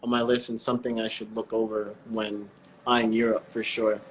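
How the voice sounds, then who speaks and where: A man hosting a breathwork live stream, chatting in a relaxed, friendly way with callers and often correcting himself mid-sentence. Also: fairly low pitch, a little fast, slight background noise, rough recording.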